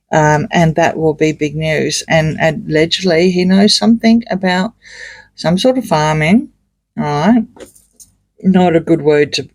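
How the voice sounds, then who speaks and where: A man with a croaky voice, doing a rambling, hesitant tarot reading full of fillers and restarts. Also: a little high, dry recording. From Australia. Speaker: tarot reader. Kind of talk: casual tarot reading monologue.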